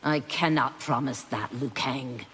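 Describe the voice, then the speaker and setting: A woman's voice: rumbling low, measured pace, long reverb tail, noisy background. A woman voicing an earnest, resolute demon warrior seeking redemption in a video game, with formal speech. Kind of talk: video game fight-intro dialogue.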